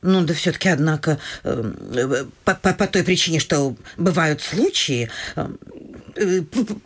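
A woman playing a flustered, fussy middle-aged noble mother in a vaudeville radio play, breathy and stammering theatrically. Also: low-pitched, animated, unhurried, heavily acted. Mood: flustered, scolding. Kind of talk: Russian classic vaudeville radio play acting.

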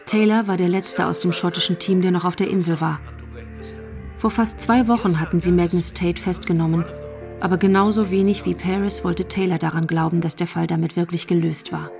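A woman narrates a radio drama in a measured, literary way. Her voice is on the low side and creaky. Music plays clearly behind her. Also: fairly even intonation, darkish tone.

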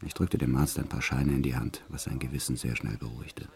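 Radio play lead: brutal career criminal confessing matter-of-factly, extremely low voice. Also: male voice, fairly even intonation, a little fast, gravelly, a little mellow. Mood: subdued, brooding. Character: violent male career criminal confessing his life, brutal yet tender. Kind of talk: first-person radio play narration.

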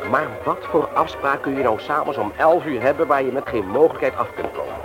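An actor playing a firm, insistent older brother in a Dutch radio drama, probing with authority. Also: male voice, mid-range voice, fairly quick, music in the background, natural voice. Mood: suspicious, challenging. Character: firm, persistent older brother investigating a planned burglary.

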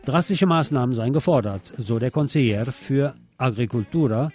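Mellow-voiced man reading radio news over guitar. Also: mid-range voice, animated, normal speed. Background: plucked guitar music.

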